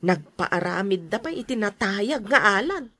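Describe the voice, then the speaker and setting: A woman's voice: mid-range voice, lively intonation, a little slow, bright, forceful tone, no room sound, studio quality. A woman playing a plain-spoken wife in an Ilocano audio drama, with an Ilocano accent. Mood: worried.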